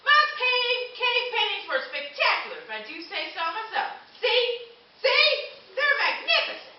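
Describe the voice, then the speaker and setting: A woman's voice: extremely high pitch, sing-song, normal speed. A woman playing a boastful schoolgirl time traveller who tells her story breezily in an amateur puppet show. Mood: proud. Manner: breezy, self-satisfied storytelling. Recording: very echoey room from far off, muffled.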